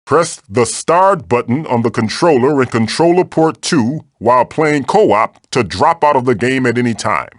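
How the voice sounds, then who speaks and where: A man's voice: medium pitch, expressive, normal speed, few pauses, no room sound, studio quality, natural voice. A man voicing a wise, wisecracking ancient magical mask who guides players through a video game in a theatrical, avuncular, wry way. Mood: instructive, encouraging. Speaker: game guide character giving tutorials. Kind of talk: animated video game voice acting.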